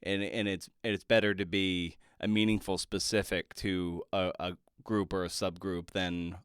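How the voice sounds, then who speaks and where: A man hosting a conversational interview podcast, speaking in a thoughtful, halting way. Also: deep voice, level tone, fairly bright tone, clean tone, no room sound, clean studio sound. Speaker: podcast host.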